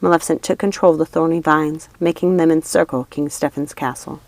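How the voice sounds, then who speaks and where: A woman's voice: low voice, fairly even intonation, brisk, darkish tone, no room sound. A woman narrating a fantasy storybook as an audiobook reader.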